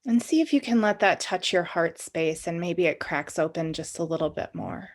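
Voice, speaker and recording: A woman's voice: on the low side, moderate pace. A woman leading a guided meditation in an informal, conversational and inviting way, as the guide of the session.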